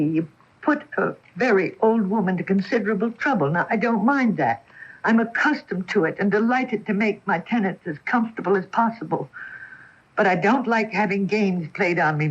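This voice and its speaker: Very old landlady: stern, formal, easily affronted, measured reproofs. Radio drama, lo-fi broadcast. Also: mid-range voice, husky. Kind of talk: radio mystery acting. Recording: dull off-air AM radio recording.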